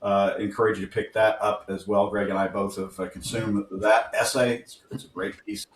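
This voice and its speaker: A man's voice: fairly low pitch, flat delivery, moderate pace, a little hiss or hum. Brief: male co-host, casual and rambling, roomy far-off recording. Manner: easygoing and rambling, with frequent fillers and self-corrections. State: groggy. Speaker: podcast co-host. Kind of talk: casual interview talk. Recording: roomy sound from a distant mic.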